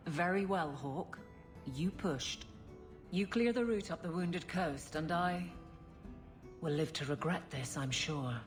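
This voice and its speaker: Stern but awkward guard lady, kinda low voice, fantasy game, soft music under it. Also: slow. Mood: reluctant. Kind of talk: video game dialogue acting.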